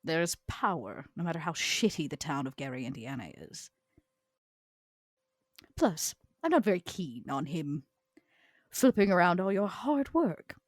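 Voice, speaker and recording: A shrewd, proud female vampire , acted by a woman with a lively, swooping delivery. She is theatrical and cutting, choosing words deliberately, in a dry close recording of a tabletop game. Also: low-pitched, no echo, heavily acted. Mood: conspiratorial, coaxing. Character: Vera, proud, shrewd, long-lived female vampire enforcer. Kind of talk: improvised tabletop roleplay.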